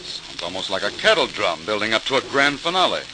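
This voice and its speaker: Formal, questioning male committee man, expressive pitch movement, vintage radio drama, crackly recording. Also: fairly quick, full character voice. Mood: attentive, impressed. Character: measured, questioning male official weighing a new theory. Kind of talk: 1940s-style radio docudrama acting. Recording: noisy, hissing old-time radio recording.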